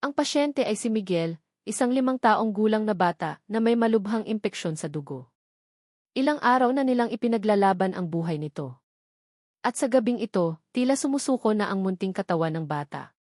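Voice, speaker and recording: A woman tells a scripted story as a storyteller. Her delivery is measured and sentimental, with phrases set out like a storybook. The sound is very dry, free of any room echo. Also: medium pitch, expressive, darkish tone.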